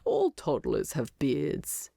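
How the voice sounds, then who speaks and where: A woman's voice: deep voice, huge pitch swings, slow, creaky, mellow, dry recording, clean studio sound, voice pushed down, heavily acted. A woman voicing a grumpy, sleepy, indignant male hobbit who mumbles his complaints in a fantasy storybook. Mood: sleepy, grumbling. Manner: mumbling, muttering, squawking complaints.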